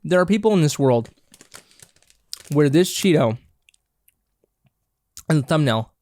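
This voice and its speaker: A man's voice: on the high side, animated, unhurried, darkish tone, dry recording. A congested man hosting a podcast, chatting casually and rambling with many restarts while he snacks. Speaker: podcast host. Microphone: own camera and mic, close and dry.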